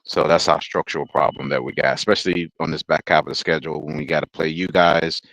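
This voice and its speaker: Low-voiced rambling football fan. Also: male voice, fairly even intonation, a bit bright, rough recording. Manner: rambling, halting, chuckles often. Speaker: guest fan. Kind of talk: casual sports chat.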